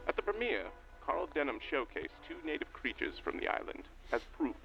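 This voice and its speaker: A man's voice: fairly high pitch, very animated, fairly quick, creaky, soft background music. A man playing a grave, earnest documentary guide, narrating formally and portentously from a script in a vintage style. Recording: dull lo-fi audio with slight noise.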